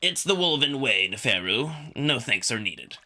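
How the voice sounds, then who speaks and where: A man's voice: mid-range voice, very animated, measured pace, sharp tone, exaggerated voice. A man voicing a haughty, irritable alien wolf prince in a visual novel, curt and dismissive. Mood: stiffly polite.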